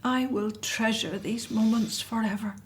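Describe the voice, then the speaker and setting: Actress as elderly devout mother, anxious, tender, fairly high voice, radio play. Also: fairly even intonation, unhurried, slight background noise, close to an everyday voice. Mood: tearful, tender. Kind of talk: radio drama acting.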